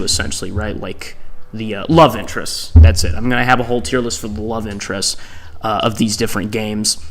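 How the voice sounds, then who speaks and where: A man with a mid-pitched voice talks casually over background music. He rambles like a host working through his opinions out loud. His delivery is exasperated and full of exaggeration. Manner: exasperated, hyperbolic ranting. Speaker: host ranking visual novel protagonists.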